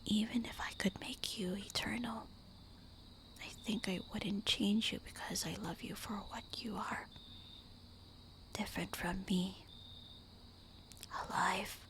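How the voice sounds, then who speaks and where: A woman voicing a tender, melancholy immortal vampire wife in a breathy, intimate whispered ASMR roleplay. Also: medium pitch, fairly even intonation, a bit bright. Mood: loving, wistful. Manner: slow, intimate, wistful murmuring.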